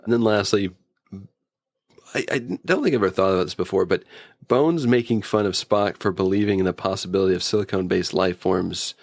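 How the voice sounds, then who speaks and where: A man with a creaky, fairly low voice co-hosts a review show. He is wry and jokey, chatting casually, and the sound is dry and close. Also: fairly quick, no room sound. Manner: wry, joking, anecdotal. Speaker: podcast co-host. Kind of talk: casual humorous review chat.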